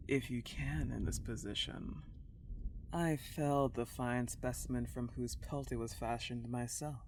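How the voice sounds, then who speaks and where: Woman playing a slow, seductive vampire countess. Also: very deep voice, dark tone, a little hiss or hum, full character voice. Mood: haughty, amused. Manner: slow, velvety, condescending, lingering on words. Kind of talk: ASMR roleplay, intimate scripted monologue.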